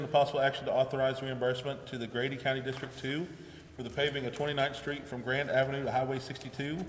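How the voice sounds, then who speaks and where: Male meeting chair, formal agenda reading, long room reverb with faint noise. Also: medium pitch. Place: indoor council chamber. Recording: echoey council chamber, faint noise.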